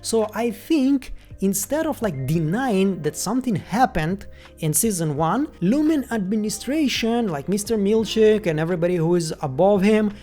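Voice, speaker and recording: A woman's voice: medium pitch, lively intonation, normal speed, mellow, faint music behind. A woman from Ukraine with a Ukrainian accent, hosting a chatty video commentary full of "like" and "once again" fillers. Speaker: YouTube host.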